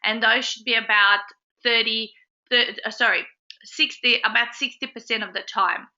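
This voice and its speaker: A bright, sharp-toned woman with a fairly high voice talks casually to members on a live call, filling her sentences with ums and uhs. She has a slight cold that makes her a bit nasal, and the call audio is dull and lo-fi. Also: steady tone, moderate pace. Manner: chatty, with many ums and uhs. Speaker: host of a business support group call. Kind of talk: casual webinar talk to members.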